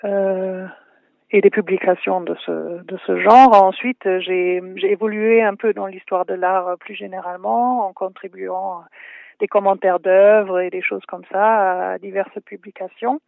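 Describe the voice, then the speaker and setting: A woman from Germany, native German speaker, answering interview questions in French with a German accent, hesitant and full of filler pauses. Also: mid-range voice, fairly even intonation, creaky, no echo. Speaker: photography historian and translator. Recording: tinny dry phone line.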